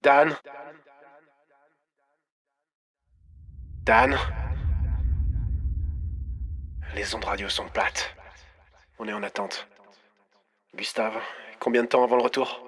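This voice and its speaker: A man's voice: medium pitch, sing-song, moderate pace, gravelly, fairly bright tone, husky, full character voice. A man playing an anxious mission control operator in an audio drama, talking in a tense, urgent way.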